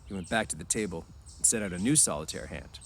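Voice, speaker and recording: Male amateur story reader, on the low side, informal read-aloud delivery with faint noise behind. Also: quick pace, creaky.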